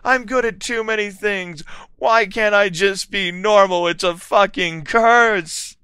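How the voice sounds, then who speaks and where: A man voicing a melodramatic teenage goth girl who whines in a shrill, mock-dramatic way. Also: normal speed, bright, forceful tone, clear, resonant tone, no room sound. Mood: whiny.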